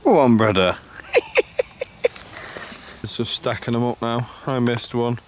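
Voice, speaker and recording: A man vlogging a fishing trip outdoors by a lake, chatting excitably and bantering with his brother. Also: mid-range voice, unhurried, slight background noise. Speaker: angler vlogger. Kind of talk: casual chat.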